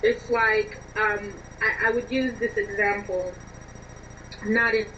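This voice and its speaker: A woman's voice: medium pitch, flat delivery, takes it slowly. A woman speaking as an interview guest and writer, earnestly explaining her views through personal examples. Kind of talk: reflective interview conversation. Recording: dull lo-fi, distant, noisy line.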